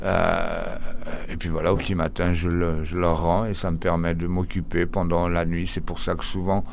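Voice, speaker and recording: A man's voice: low voice, creaky, a little hiss or hum. A man who runs a laundromat, chatting casually in an interview, often stumbling and repeating words. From Portugal. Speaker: laundromat owner.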